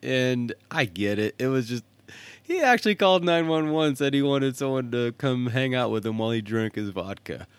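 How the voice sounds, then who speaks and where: A man's voice: mid-range voice, lively intonation, moderate pace, clear, resonant tone, dry recording. A man hosting a podcast in casual solo chat, jokey and informal in his delivery. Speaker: host. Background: music playing under the voice.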